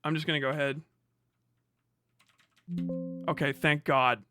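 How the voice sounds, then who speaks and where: A man's voice: a little high, fairly even intonation, measured pace, bright, forceful tone, faint music behind. A man hosting a livestream, reading aloud and riffing casually in a sarcastic, mocking way with plenty of swearing. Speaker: livestream host reacting to an article.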